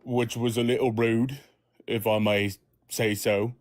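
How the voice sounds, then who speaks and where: A man's voice: medium pitch, little pitch movement, unhurried, dry recording, close to an everyday voice. A man playing a folksy, grumbling Martian fire yeti. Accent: Southern US. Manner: rambling, folksy, easily offended. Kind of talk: comedic improv character.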